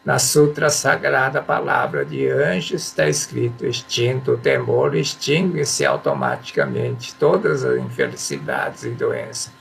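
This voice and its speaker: A man's voice: mid-range voice, big pitch swings, measured pace, vocal fry, hardly any pauses, fairly bright tone, slight background noise. A man giving a religious teaching talk, reading passages aloud, explaining them and repeating affirmations with emphasis. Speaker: spiritual teacher.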